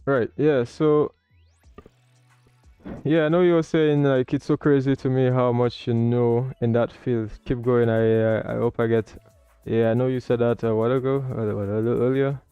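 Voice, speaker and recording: A man's voice: mid-range voice, level tone, normal speed, clear, resonant tone, no echo, dull audio, faint music behind. A man hosting a livestream, talking casually as he comments and explains as a learner. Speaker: livestream host learning air traffic control.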